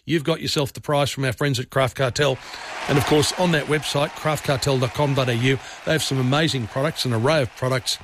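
A man with an Australian accent hosting talkback radio in a casual, rambling way, his voice scratchy and hoarse. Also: mid-range voice, steady tone, fairly quick. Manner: rambling and chatty, with restarts.

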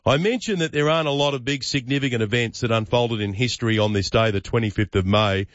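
An Australian man hosting breakfast radio, moving briskly and jokily through listener messages and callers. His mid-pitched voice sits dry and close, a little dull in tone. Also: dry recording. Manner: brisk, joking banter with callers. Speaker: radio host.

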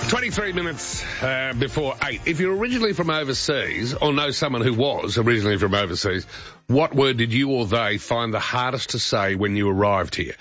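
A man's voice: mid-range voice, lively intonation, fairly quick, a bit bright, soft background music. A man hosting a breakfast radio show, talking casually with the odd filler. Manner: chatty, with fillers. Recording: slightly dull broadcast sound.

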